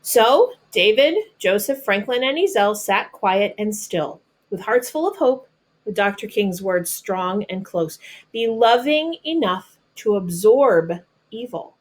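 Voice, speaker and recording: A woman's voice: medium pitch, expressive, slow pace. American woman reading aloud to children. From North Carolina, United States. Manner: explains simply and patiently to children. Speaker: teacher reading a picture book aloud. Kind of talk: children's read-aloud with explanatory asides.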